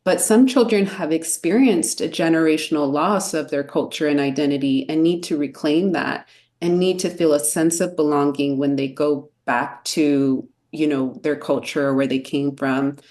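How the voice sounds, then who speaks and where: A woman's voice: sits low, moderate pace, darkish tone. A woman in her mid-thirties, an educator and podcast guest, speaking in an earnest, reflective way. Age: 30s. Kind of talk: casual interview chat.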